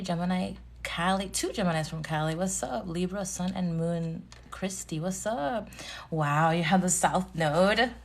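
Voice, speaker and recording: Slow-talking woman in her thirties. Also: fairly low pitch. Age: 30s. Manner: chatty, greets viewers by name and laughs often. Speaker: tarot reader hosting a live stream. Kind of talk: casual live-stream chat.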